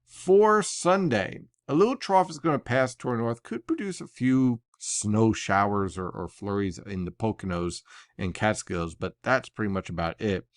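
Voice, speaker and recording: A man's voice: fairly low pitch, lively intonation, normal speed, dry recording, clean studio sound. An American man explaining a weather forecast in an analytical but conversational way, like a semi-casual briefing.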